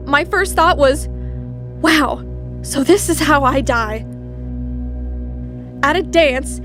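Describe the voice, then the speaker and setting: A woman voicing a snobbish rich teenage girl who narrates the story in a sarcastic, dramatic way. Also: sits high. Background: music.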